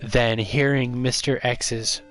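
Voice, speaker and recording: A man's voice: mid-range voice, slow. A man streaming a video game, chatting casually and giving his opinions, with a stuffy, congested voice. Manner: relaxed, opinionated, chatty with viewers. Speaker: gaming streamer.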